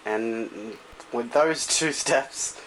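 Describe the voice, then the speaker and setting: A man's voice: mid-range voice, drawn-out words, a bit bright. A man giving a casual, hands-on tutorial in an offhand, loose and informal way. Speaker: tutorial presenter. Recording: noisy home recording with clicks.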